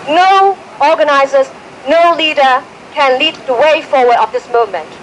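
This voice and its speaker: Woman spokesperson, high voice, measured, faint outdoor hum. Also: rough recording. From Hong Kong. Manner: measured, point by point. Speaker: press conference spokesperson. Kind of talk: formal prepared statement. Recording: outdoor press event with faint street noise.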